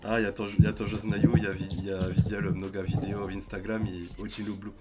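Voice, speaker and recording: Young man, low-ish voice, halting learner on a webcam mic. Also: little pitch movement, loud music behind the voice. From France. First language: French. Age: 20s. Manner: halting, hesitant with many fillers. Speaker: language learner on a video chat. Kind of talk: casual video chat in a learned language.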